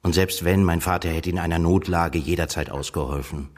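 A man's voice: low-pitched, fairly even intonation, fairly quick. An actor playing a cold, bookish middle-aged man from a rich family in a radio drama, detached and formal. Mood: cool, guarded.

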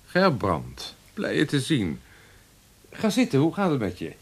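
A man's voice: medium pitch, wildly swooping pitch, unhurried, husky, heavily acted. A man acting a genial, self-assured friend in a radio drama, unruffled and dry. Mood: welcoming.

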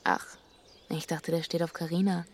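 A woman's voice: lowish voice, level tone, normal speed, a little hiss or hum, close to an everyday voice. A woman playing a dry-witted, laconic, easygoing young backpacker in a German radio drama. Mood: dry teasing.

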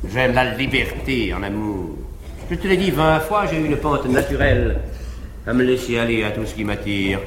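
A man's voice: medium pitch, lively intonation, normal speed, lightly acted. An actor playing an imperious, mocking libertine nobleman, declaiming with haughty irony in 1950s French classical stage style. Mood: blithe, cavalier. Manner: haughty, commanding, ironic. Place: large theatre stage before an audience. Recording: echoey noisy 1950s live theatre recording.

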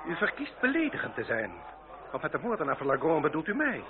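Snooty dangerous marquis, radio play, very animated stiff formal talk, tinny sound w crowd murmur. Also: male voice, on the high side, fast talker, noisy background. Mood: offended, threatening. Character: haughty, menacing aristocratic marquis, a proud duellist. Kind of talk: period radio play acting.

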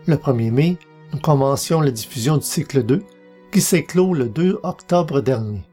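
A man's voice: medium pitch, expressive, normal speed, warm tone. A man hosting a podcast, reading scripted announcements. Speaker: podcast host. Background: music.

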